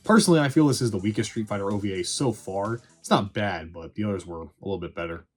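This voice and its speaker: Male video reviewer, low-pitched and husky; casual, irreverent, sweary commentary over background music. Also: quick pace.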